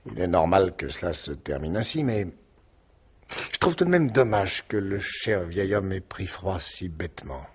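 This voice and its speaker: An actor playing a gruff, wry middle-aged police investigator in a 1950s-style French radio mystery, exclamatory and musing. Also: male voice, sits low, lively intonation, moderate pace.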